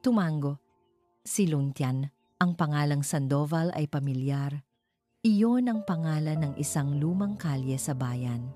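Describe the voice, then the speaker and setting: A woman's voice: sits low, a little slow, warm tone. A woman narrating a dramatic scripted story. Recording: music behind the voice.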